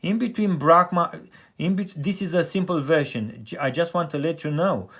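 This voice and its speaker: A man with a Romanian accent, a heating engineer explaining burner controls step by step, halting often with fillers and restarts. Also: fairly high pitch, a bit bright. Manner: halting, many fillers and restarts, plain and practical. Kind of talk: technical how-to explanation.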